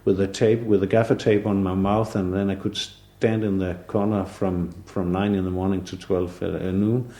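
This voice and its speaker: A man in his sixties from southern Denmark, a native Danish speaker, talking in a relaxed interview with many pauses, fillers and restarts. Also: deep voice, reverberant. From southern Jutland, Denmark. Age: 60s. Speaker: artist interviewee.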